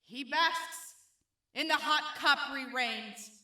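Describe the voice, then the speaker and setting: Raider warlord queen: very high, piercing, slow ceremonial delivery, grandiose and cruel, audio drama. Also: sharp tone, heavily acted. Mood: solemn, menacing. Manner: pompous, ceremonial, theatrical pronouncements. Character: imperious female raider cult leader, grandiose and cruel. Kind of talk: theatrical post-apocalyptic audio drama acting. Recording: echoey room, distant from mic.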